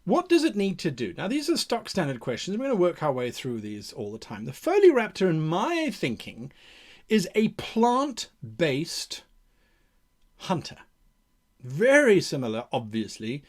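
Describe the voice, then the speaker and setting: A man hosting an advice show in a casual, enthusiastic explaining style, with theatrical emphasis. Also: fairly high pitch. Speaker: host of a game mastering advice show.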